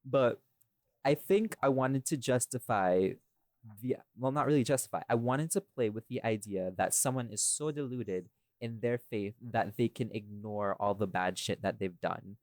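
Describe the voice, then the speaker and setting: A man's voice: medium pitch, moderate pace, darkish tone, no echo. Irreverent man chatting, close dry sound. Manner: irreverent, swears casually. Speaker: podcast host and voice actor. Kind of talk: casual podcast chat, behind the scenes.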